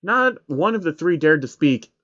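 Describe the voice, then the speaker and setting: A man's voice: on the high side, big pitch swings, moderate pace, a bit bright, dull audio. A man narrating an amateur story reading as the narrator of a piece of fan fiction.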